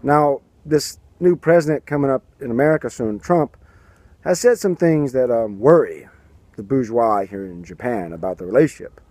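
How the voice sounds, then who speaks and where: A man's voice: mid-range voice, moderate pace, darkish tone, dry recording. A man in his thirties giving sarcastic political commentary to a handheld camera outdoors. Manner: sarcastic, mocking, puts on silly voices for quotes. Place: outdoors by a garden and building. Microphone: camera at arm's length.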